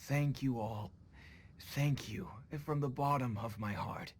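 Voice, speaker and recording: Man acting a gentle, weary father. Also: medium pitch, slow pace, a little hiss or hum, natural voice. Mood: grateful. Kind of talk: video game voice acting.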